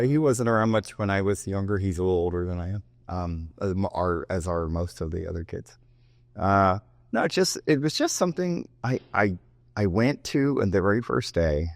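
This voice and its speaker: A low-voiced man in his fifties talks through his answers in a loose, easygoing way. He circles back and restarts his sentences as he goes. The recording is close and dry, with no room sound. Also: no echo. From United States, raised in Japan. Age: 50s. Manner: rambling with frequent restarts and self-interrupting asides. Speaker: martial arts teacher and guest. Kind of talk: relaxed interview talk.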